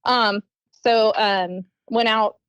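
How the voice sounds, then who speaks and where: A woman with a Southern US accent, a guest in an interview, telling stories in a chatty, animated way, full of ums and acted-out quotes. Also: fairly high pitch, very slow, clear, resonant tone, no room sound, dull audio. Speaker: guest, competitive archer and teacher. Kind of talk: casual storytelling in an interview.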